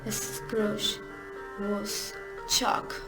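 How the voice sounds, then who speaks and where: A woman narrates a scripted story at a slow pace. Her voice is mid-pitched, and music plays clearly behind her. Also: monotone.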